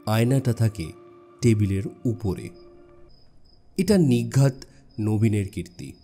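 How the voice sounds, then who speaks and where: A man narrating a scripted suspense story in a measured, dramatic way with deliberate pauses. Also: fairly low pitch, lively intonation, mellow, husky, faint music behind. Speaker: audiobook narrator.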